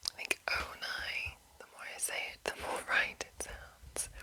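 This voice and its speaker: A woman whispering in a breathy, hushed and deliberate way, reading aloud in an ASMR style. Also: poor audio. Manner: slow, hushed, deliberate phrasing. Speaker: host of a whispered ASMR channel. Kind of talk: whispered ASMR reading of a game manual with casual asides.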